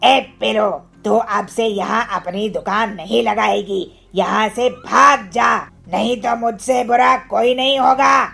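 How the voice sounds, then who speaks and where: A woman voicing a bullying male shopkeeper in a cartoon story, menacing and spiteful, with drawn-out threats. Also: a little high, steady tone, vocal fry, slightly rough, faint music behind, heavily acted. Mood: threatening. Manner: threatening, drawn-out shouted warnings.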